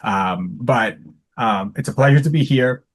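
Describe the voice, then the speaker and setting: A man's voice: medium pitch, a little slow. A man from Italy with an Italian accent speaks as a guest on a video call, introducing his talk in a hesitant, conversational way.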